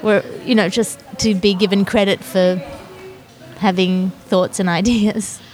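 A woman with an Australian accent hosts a podcast, speaking articulately with quick, self-deprecating asides. Her voice sits at a middling pitch for a woman. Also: steady tone, a little mellow, soft background music. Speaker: podcast host, comedian. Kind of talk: podcast conversation.

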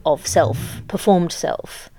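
A woman's voice: low-pitched, takes it slowly, dark tone. A woman comedian chatting backstage, talking things through in a thoughtful, analytical way. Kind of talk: casual backstage chat. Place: backstage at a venue.

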